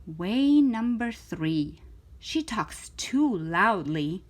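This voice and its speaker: A woman reading a children's story aloud in an expressive, theatrical way, with emphatic stretched words. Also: mid-range voice, extremely slow. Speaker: storytime reader of children's books.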